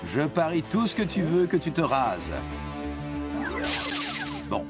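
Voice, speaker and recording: Animated male narrator over loud music. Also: a little high, takes it slowly. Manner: playful, addresses the young listener directly. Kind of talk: scripted educational cartoon narration. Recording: prominent music behind narration.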